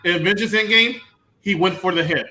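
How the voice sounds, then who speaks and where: A man's voice: high voice, fast, over a noisy crowd. A man on a film review panel giving his opinions in casual, bantering talk with friends.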